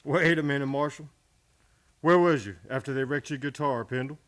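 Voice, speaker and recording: A man's voice: medium pitch, moderate pace, a bit bright, close to an everyday voice. A man acting a folksy, defensive Old West barkeeper in a radio play, dodging and explaining. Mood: evasive, defensive.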